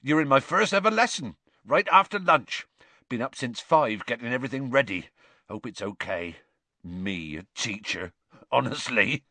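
A huge, kindly gamekeeper talks eagerly and earnestly, as a man puts him on. The voice rises with excitement then drops shyly, lively in pitch and dry in sound. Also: moderate pace, no echo.

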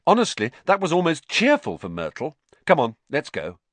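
A man voicing a sensible young schoolgirl in an audiobook, brisk, matter-of-fact and mildly sceptical. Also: fairly high pitch, huge pitch swings, no echo, pitched above the performer's own voice. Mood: weary. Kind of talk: audiobook character acting.

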